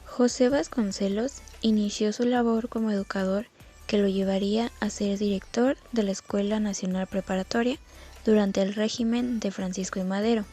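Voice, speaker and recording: A woman's voice: mid-range voice, fairly even intonation, normal speed, warm tone, soft background music. A woman reading scripted educational narration from a text, as a student presenter.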